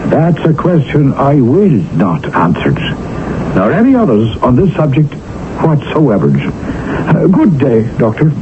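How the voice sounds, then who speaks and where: An actor playing a guarded, precise lawyer in a 1970s radio drama, crisp, formal and evasive. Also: male voice, mid-range voice, huge pitch swings, a little slow, noisy background. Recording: narrow lo-fi old radio broadcast.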